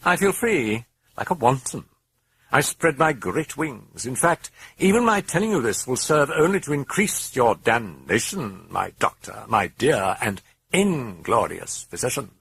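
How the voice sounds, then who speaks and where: A man's voice: medium pitch, very animated, measured pace, creaky, fairly bright tone, husky, no echo, voice pushed up, full character voice. A man voicing a sardonic, mocking demon that speaks through a possessed girl, sneering and theatrical.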